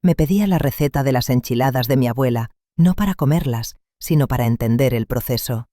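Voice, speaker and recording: A man reads a first-person story aloud in a calm, measured, reflective voice. His tone is mellow and dark, and the recording is dry, with no room sound around him. He keeps a steady, unhurried storyteller's delivery. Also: medium pitch, no echo. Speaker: narrator.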